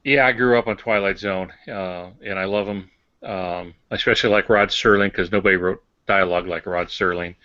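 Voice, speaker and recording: Older American male podcast co-host, forceful low-ish voice, casual teaching tone, dull dry recording. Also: fairly even intonation, brisk, dry recording. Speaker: podcast co-host on fiction writing. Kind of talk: casual instructive discussion.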